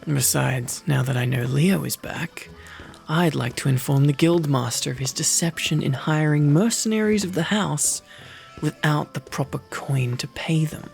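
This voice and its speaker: Male actor for tsundere wolf-man mercenary, ASMR roleplay; very gravelly, gruff, easily flustered, protective underneath, music underneath. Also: a little high, unhurried. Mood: bossy, protective. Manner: gruff, sardonic, stammers when flustered.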